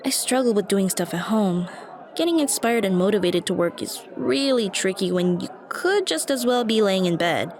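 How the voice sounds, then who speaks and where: Cafe chatter surrounds a shy, dorky young woman, played by a female voice actor. She speaks straight to the listener in an earnest, self-conscious ramble, hesitating as she goes. It is an intimate ASMR roleplay at a middling pitch. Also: expressive, moderate pace, a little mellow, close to an everyday voice. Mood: musing, rambling. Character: shy, dorky young woman, a friendly coffee shop regular. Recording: busy cafe chatter behind the voice. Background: coffee shop crowd ambience.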